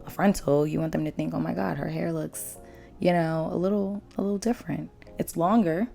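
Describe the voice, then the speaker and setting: A young woman vlogger with a naturally low voice, hoarse after losing it, chatting bluntly and casually through a hair tutorial. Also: warm tone. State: hoarse, lost voice after drinking. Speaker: beauty vlogger. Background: faint background music.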